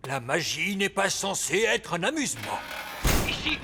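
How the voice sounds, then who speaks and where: A man's voice: high-pitched, huge pitch swings, moderate pace, vocal fry, music in the background, exaggerated voice. A man playing a pompous old wise elf magician in a dubbed cartoon, theatrical and fussy, speaking in sententious pronouncements. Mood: stern, pedantic. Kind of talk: animated cartoon dub acting.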